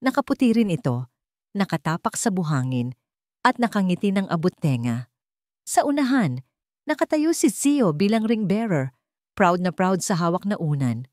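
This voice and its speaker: A woman's voice: lowish voice, sing-song, normal speed, pauses often, a little mellow, dry recording, studio quality. A woman narrating a dramatic romance story in a sentimental, emphatic storytelling manner.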